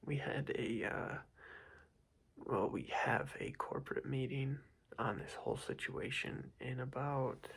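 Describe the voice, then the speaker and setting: A man's voice: medium pitch, unhurried, creaky, a bit bright, breathy edge, poor audio. A young man whispering an ASMR roleplay as a boss, scolding in a casual, rambling way with many fillers. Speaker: ASMR creator playing a boss.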